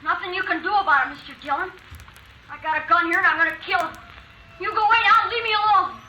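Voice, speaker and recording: A woman's voice: very high-pitched, quick pace, bright, forceful tone, muffled recording, a little hiss or hum, full character voice. A woman playing a distraught young farm boy in a vintage-style radio drama, pleading and close to tears. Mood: defiant. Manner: desperate, pleading, near tears.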